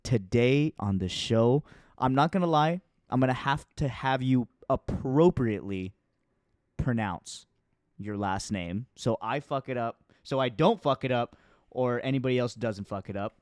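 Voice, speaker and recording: A man's voice: mid-range voice, a little slow, no echo, clean studio sound. A man hosting a casual podcast interview in a playful, teasing, informal way. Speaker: podcast host.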